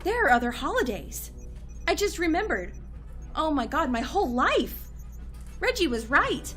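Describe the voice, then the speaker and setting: A high-pitched, earnest female agent played by a woman in an audio comedy drama. She talks in a conversational, exclamatory way with music playing behind her. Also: big pitch swings, normal speed, a bit bright. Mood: stunned disbelief. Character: earnest, excitable female agent leading a team.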